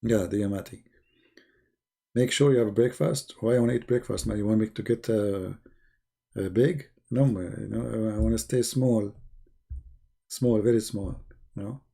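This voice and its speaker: Gravelly, dark-voiced man mocking on livestream. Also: fairly low pitch, fairly even intonation, normal speed, pauses often. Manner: mocking, rambling, joking asides. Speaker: livestream host. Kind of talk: casual livestream chat.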